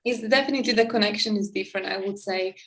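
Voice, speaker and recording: A woman's voice: mid-range voice, fast talker, fairly bright tone. A woman from the former Czechoslovakia, speaking English as a second language, hosting a casual interview with enthusiastic, chatty talk. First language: not English; similar to Czech. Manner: enthusiastic, chatty, frequent restarts. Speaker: podcast host.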